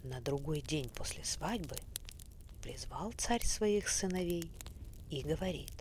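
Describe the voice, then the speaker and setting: A woman narrating a bedtime fairy tale in a soft, often whispery, unhurried voice. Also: low-pitched, animated. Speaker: storyteller. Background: faint fireplace crackle.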